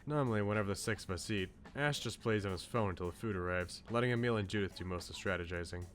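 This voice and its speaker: A man's voice: on the low side, fast talker, a bit bright, soft background music. A man live-streaming a visual novel, reading aloud and chatting.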